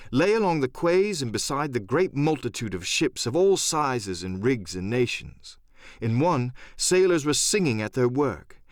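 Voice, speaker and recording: A man narrating a first-person adventure story as a dramatic audiobook, expressive and theatrical. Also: medium pitch, dry recording, clean studio sound.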